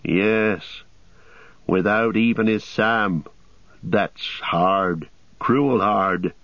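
A man's voice: on the low side, extremely slow, a bit bright, no room sound, lo-fi sound, exaggerated voice. Man doing a homely, loyal hobbit servant. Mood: anxious pondering. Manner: rustic, homely phrasing. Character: loyal, plain-spoken male hobbit servant, anxious.

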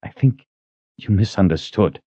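A man's voice: on the low side, lively intonation, unhurried, warm tone, breathy edge, no echo. A man voicing a young swordsman who speaks in a tense, pressing way. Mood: urgent. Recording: dull lo-fi audio.

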